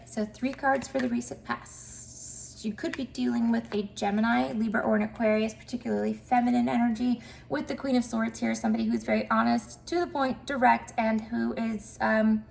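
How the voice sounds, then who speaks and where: Woman tarot reader, slightly high voice with heavy fry, nasal from a cold, chatty and upbeat, faint hiss behind. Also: steady tone, brisk, a little mellow. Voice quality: cracky, nasal. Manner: chatty, upbeat, many fillers. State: mild cold, nasal and stuffed up. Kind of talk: casual tarot reading to camera.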